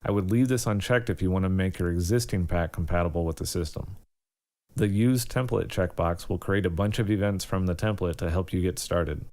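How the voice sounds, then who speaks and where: A man presenting a step-by-step software tutorial in an informal, instructional way. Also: sits low, fairly quick.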